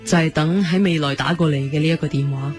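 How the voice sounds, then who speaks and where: A woman's voice: low voice, steady tone, fast talker, music in the background, natural voice. A woman with a Hong Kong Cantonese accent playing an unhappy, yearning young wife in a sci-fi romance radio drama, emotional and plaintive. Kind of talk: radio drama acting with narrated inner monologue.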